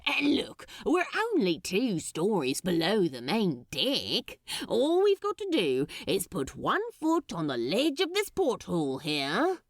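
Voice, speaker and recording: Woman voicing a cocky, reckless male demon, swooping pitch. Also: wildly swooping pitch, slow pace, dry recording, studio quality, full character voice. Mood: persuading. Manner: breezy, casual, coaxing.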